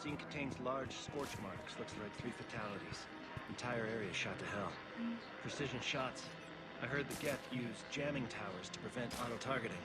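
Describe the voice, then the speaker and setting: Clipped security investigator over loud ambience, male actor. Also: medium pitch, moderate pace, lightly acted. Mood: grim, observant. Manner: clipped, matter-of-fact. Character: gruff weary security investigator on a space station. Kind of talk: video game voice acting. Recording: clear urban ambience noise behind.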